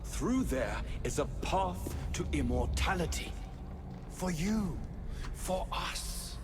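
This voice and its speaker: A man's voice: sits high, expressive, slow pace, noisy background. A man playing a fanatical villain scientist, grandiose, scornful and coldly persuasive, in a dramatic video game cutscene. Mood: tempting.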